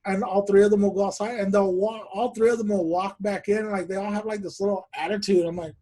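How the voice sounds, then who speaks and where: A man hosting a podcast over a video call, telling stories in a relaxed chat and laughing often. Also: sits high, quick pace. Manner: laughs often, animated storytelling. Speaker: podcast host. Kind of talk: casual chat.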